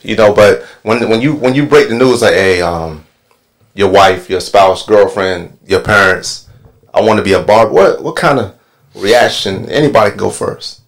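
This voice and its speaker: A man with a very low voice leads a laid-back podcast discussion. He talks loosely, dropping frequent ums and repeating himself as he goes. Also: moderate pace, creaky. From Northern Virginia. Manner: relaxed, filler-heavy with many ums. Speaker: podcast host and barber. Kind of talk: casual panel podcast talk.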